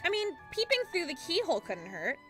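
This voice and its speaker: Actress as cheeky cartoon pony, high, teasing, playful, clear music underneath. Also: female voice, wildly swooping pitch, fairly quick, a bit bright, full character voice. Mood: mischievous, teasing. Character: mischievous, cheeky young female cartoon pony.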